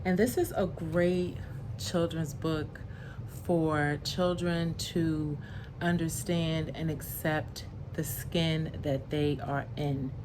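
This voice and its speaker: Slow-paced woman reading to children. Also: deep voice, fairly even intonation, noisy background. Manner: slow, deliberate, explains pictures in simple words. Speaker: storyteller reading a picture book aloud to children. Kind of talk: expressive read-aloud with asides to young children.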